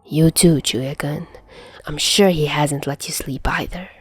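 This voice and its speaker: A woman voicing a stern, weary male doctor with a weak, breathy tone, chiding but caring, in an audio story. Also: low-pitched, measured pace, dry recording. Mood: gently chiding. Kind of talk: amateur voice acting in fan fiction reading.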